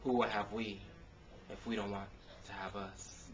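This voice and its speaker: American guy doing spoken word, expressive delivery, kinda muffled camcorder audio w a bit of noise. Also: on the low side, a little slow. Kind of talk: spoken word poetry reading with casual introductions. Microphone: camcorder microphone. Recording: dull, noisy consumer camcorder sound.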